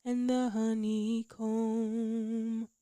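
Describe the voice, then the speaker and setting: A woman singing a devotional scripture song in drawn-out phrases. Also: a little high, level tone, warm tone, soft background music. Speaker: singer. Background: musical accompaniment.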